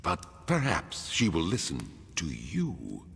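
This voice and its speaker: An actor playing an imperious, impatient middle-aged lord in a video game, haughty and theatrical, with a raspy voice. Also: male voice, sits low, wildly swooping pitch, takes it slowly, long reverb tail, soft background music, full character voice. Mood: measured, persuasive. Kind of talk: dramatic video game voice acting.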